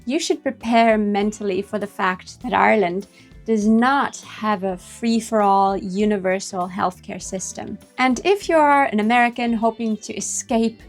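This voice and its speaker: A woman's voice: medium pitch, measured pace. Woman explaining, music behind. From Finland. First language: Finnish. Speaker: host of an expat advice video. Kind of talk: scripted informational explainer.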